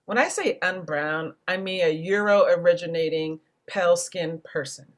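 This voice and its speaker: A woman hosting a panel discussion, speaking in a reflective, deliberate way and carefully spelling out what she means. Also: lowish voice. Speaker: panel host and moderator.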